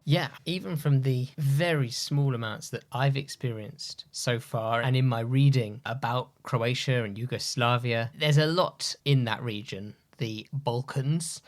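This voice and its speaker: A man's voice: medium pitch, measured pace, hardly any pauses. A man hosting an interview, asking friendly questions and summing up his guest's points. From United Kingdom. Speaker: host. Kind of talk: conversational interview.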